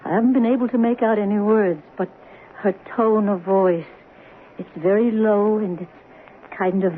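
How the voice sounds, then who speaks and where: A woman's voice: medium pitch, fairly even intonation, normal speed, close to an everyday voice. An actress playing a worried middle-aged mother who speaks hesitantly and trails off, in 1970s radio drama style. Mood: anxious. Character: worried mother of a teenage daughter. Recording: tinny, hissy old radio broadcast.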